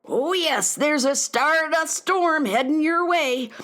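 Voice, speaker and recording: A woman's voice: high voice, takes it slowly, a bit bright. A woman playing a fussy, boastful, hard-of-hearing elderly alien in a children's space radio play. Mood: urgent warning. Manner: boastful, mishears what is said.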